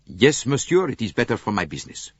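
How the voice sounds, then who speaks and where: A man doing a gushing Italian salesman, loud. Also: medium pitch, very animated, quick pace, breathy edge, dry recording, voice pushed up. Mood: boastful. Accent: slight Italian. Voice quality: gushing, expansive. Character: beaming, voluble, good-natured Italian male salesman. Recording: dull lo-fi tape.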